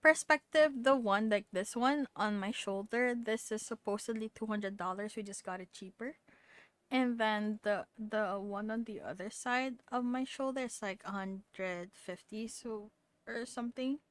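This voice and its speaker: A woman's voice: medium pitch, measured pace, no room sound. A young woman chatting casually as she streams a game. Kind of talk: casual chat while live streaming.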